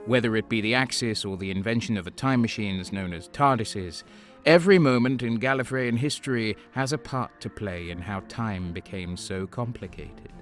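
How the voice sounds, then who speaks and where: A solemn, all-knowing being from beyond time , played by a man, narrates an audio drama in a low voice. The delivery is grave and portentous, with scorn for lesser minds. Music plays behind the monologue. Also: normal speed, natural voice. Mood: solemn, disdainful. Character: solemn, all-knowing transcendent male being chronicling the universe.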